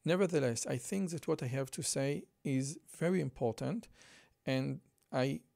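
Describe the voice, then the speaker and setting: A man with a thick Israeli accent talks through a news article as a podcast host, earnest and repeating his key points. His voice sits a bit high and has a gravelly edge. The sound is dry and close, with no room around it. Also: darkish tone, no echo. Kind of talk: commentary reading out a news article.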